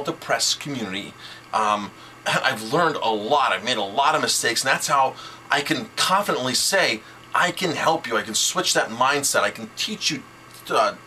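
A man's voice: mid-range voice, bright, forceful tone, slight background noise, rough recording. A middle-aged man, a chef and restaurant owner, giving casual business advice to camera with enthusiasm and lots of repeated words.

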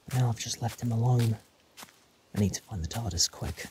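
A man's voice: mid-range voice, brisk, background noise, lightly acted. A man performing earnest, alarmed adventurers in a fan-made audio drama, with dramatic, emotive delivery. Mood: worried, frustrated. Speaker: voice actor performing all parts.

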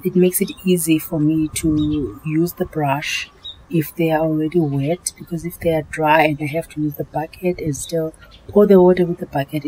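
South african woman doing chatty voiceover on her cleaning vlog, low mellow voice, laid back. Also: slight background noise, rough recording. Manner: relaxed and chatty, often repeating "like I said". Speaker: home cleaning vlogger. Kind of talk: casual voice-over narration.

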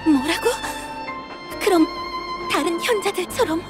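Very high, urgent young elf. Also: female voice, a little slow, music in the background. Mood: shocked, suspicious. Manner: urgent, emotional. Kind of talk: scripted game cutscene acting.

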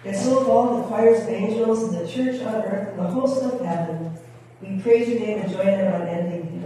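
Woman pastor, formal liturgical reading, mellow, far-off mic in a big echoey church. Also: medium pitch, fairly quick, a little hiss or hum. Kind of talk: liturgy at a communion service. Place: church sanctuary with brick wall, indoors. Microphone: far camera at the back of the chancel.

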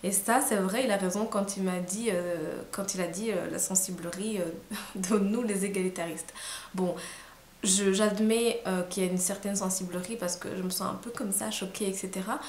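A woman's voice: medium pitch, fairly even intonation, moderate pace. Tired hesitant young woman. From Rwanda. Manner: hesitant, many fillers and restarts, soft laughs. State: tired, late at night. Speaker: vlogger. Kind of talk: casual reflective monologue to camera. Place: small room indoors. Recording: roomy echo, faint hiss.

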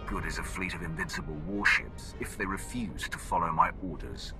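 Gravelly, very deep menacing Sith warlord. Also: male voice, over loud music. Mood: sardonic, commanding. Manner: imperious, deliberate, threatening. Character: menacing Sith warrior commander, brutish alien man, arrogant and cold. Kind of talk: video game cutscene acting.

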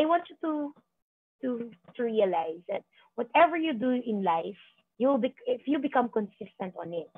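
A woman's voice: fairly high pitch, husky, no room sound. A woman in her thirties, a business coach, giving a rousing, repetitive motivational speech on a video call. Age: 30s. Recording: tinny call audio.

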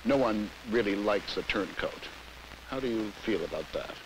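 A man's voice: lowish voice, lively intonation, normal speed. A man asking probing, formal questions as interviewer in a studio, recorded on old film. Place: television studio. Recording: 1960s film with faint hiss.

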